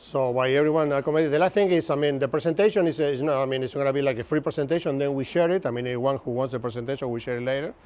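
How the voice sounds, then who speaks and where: A man's voice: medium pitch, very fast, few pauses, muffled recording. A man in his fifties from Argentina with a Buenos Aires accent, giving a chatty, joking workshop lecture and often checking in with his listeners. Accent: Argentine Spanish, Buenos Aires. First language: Spanish. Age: 50s. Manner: chatty, jokey, keeps checking in with the audience. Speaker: instructor. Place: open-sided pavilion outdoors.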